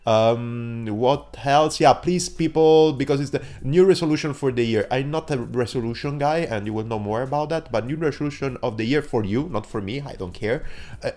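Hoarse Italian man hosting a podcast. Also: medium pitch, a little mellow. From southern Italy. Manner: chatty, rambling, many fillers and restarts. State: hoarse from a cold. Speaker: podcast host. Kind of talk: casual solo podcast intro.

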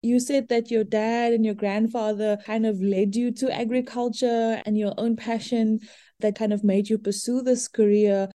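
Upbeat woman host, mellow voice. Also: on the high side, flat delivery, normal speed, no echo. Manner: upbeat and encouraging. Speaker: interview host. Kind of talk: friendly interviewing.

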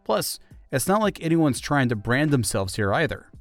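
A man's voice: mid-range voice, very animated, normal speed, no room sound, faint music behind. A man hosting an interview podcast, speaking in a thoughtful, explanatory conversational way. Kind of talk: conversational interview with narrated segments.

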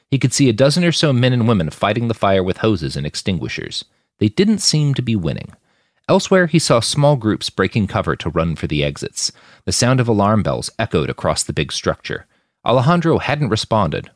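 A man narrates dramatic fiction in a low voice that swings widely in pitch. The sound is dry and close, and his delivery is expressive and emphatic. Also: dry recording. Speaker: author narrating own fiction.

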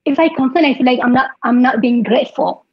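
A young woman from Ethiopia with an Ethiopian accent, a podcast guest telling her life story in halting English, repeating words and laughing through hard memories. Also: sits high, slightly rough, no room sound, lo-fi sound.

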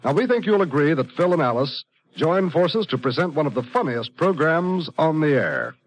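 American man, vintage network announcer, higher-pitched voice, scripted, polished delivery. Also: sing-song, normal speed, no echo, poor audio. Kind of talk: 1950s radio announcing, scripted.

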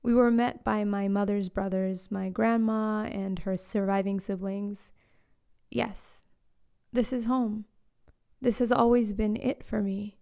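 A woman in her late twenties reading a reflective personal story in a slow, lyrical way, sometimes dropping to a hush. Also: medium pitch, steady tone, clean tone. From born in Eswatini to Zambian parents, raised in South Africa, Australia and Canada. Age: late 20s. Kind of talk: scripted personal narration.

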